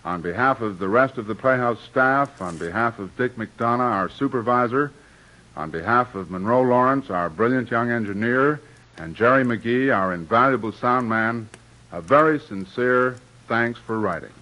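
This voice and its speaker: Bright-voiced old-time radio host. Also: male voice, medium pitch, expressive, moderate pace. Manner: courteous, gracious. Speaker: director and host. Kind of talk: formal radio introduction. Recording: dull old radio broadcast.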